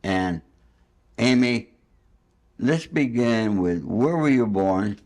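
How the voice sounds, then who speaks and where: A man's voice: on the low side, expressive, slow pace, darkish tone. An older man hosting a spontaneous, casual interview in a rambling, anecdotal and somewhat boastful way. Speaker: interviewer and host.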